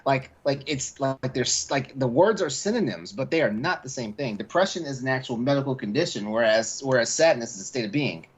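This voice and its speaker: A man's voice: mid-range voice, lively intonation, a little fast, hardly any pauses, breathy edge. A man co-hosting a podcast, chatting casually and rambling as he repeats words for emphasis. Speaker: podcast co-host.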